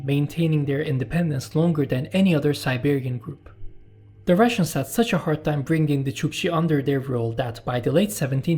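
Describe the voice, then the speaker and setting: Man narrating, mellow voice. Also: on the high side, breathy edge. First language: Turkish. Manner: measured, explanatory, with personal asides. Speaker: channel host and narrator. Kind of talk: scripted documentary narration.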